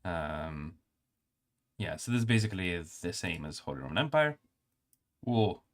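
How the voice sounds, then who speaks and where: A male streamer with a calm, soothing voice, reading game lore aloud and adding casual remarks. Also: sits low, unhurried.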